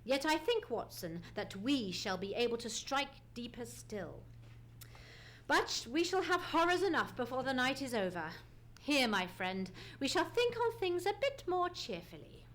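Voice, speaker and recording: A woman's voice: high voice, sing-song, normal speed, a bit bright. A woman playing a keen, commanding male detective in a live old-time radio mystery, speaking precisely and theatrically. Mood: grave, resolute. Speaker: radio play actor. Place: theatre stage. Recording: noisy live theatre stage recording.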